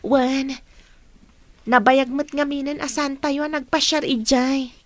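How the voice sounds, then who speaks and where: A woman's voice: high voice, level tone, takes it slowly, no room sound. A woman playing a dutiful, respectful young college student daughter in an Ilocano radio drama. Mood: friendly.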